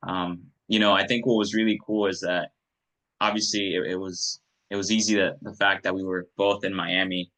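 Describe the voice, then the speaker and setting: Deep, bright-toned male host. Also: little pitch movement, normal speed, poor audio. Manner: laid-back and friendly, with fillers like "like" and "man". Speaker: host and coach. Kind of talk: casual interview talk.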